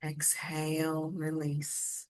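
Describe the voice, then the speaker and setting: A woman leading a guided meditation in a soothing, gentle and inviting manner. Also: sits low, completely flat delivery, drawn-out words, poor audio.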